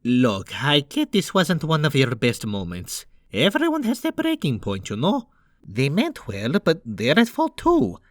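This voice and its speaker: A man playing a reassuring, easygoing older-brother plumber in an animated comic dub, talking casually with a teasing streak. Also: fairly high pitch, measured pace, no room sound, studio quality.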